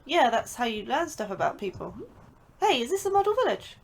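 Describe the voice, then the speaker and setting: A woman's voice: a little high, sing-song, brisk, vocal fry, exaggerated voice. A woman playing a curious, chatty young science officer who likes to tease her colleagues in an audio drama.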